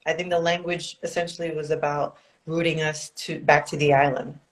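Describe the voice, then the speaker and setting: A woman's voice: sits low, flat delivery, fairly quick. A woman from South Florida co-hosting a casual podcast, speaking thoughtfully and laughing easily. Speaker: podcast co-host.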